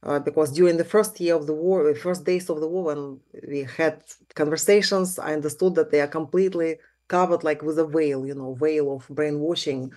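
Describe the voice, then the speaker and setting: A woman's voice: sits low, fairly even intonation, normal speed, hardly any pauses, dark tone. A middle-aged woman from Ukraine, a native Russian speaker with a Ukrainian accent, speaking earnestly and reflectively as an interview guest. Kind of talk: conversational interview.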